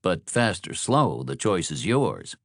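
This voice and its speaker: A man voicing a smooth, controlling motel host in an audiobook, coldly polite, measured and condescending. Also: low-pitched, big pitch swings, a bit bright, no room sound, studio quality, heavily acted. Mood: quiet menace.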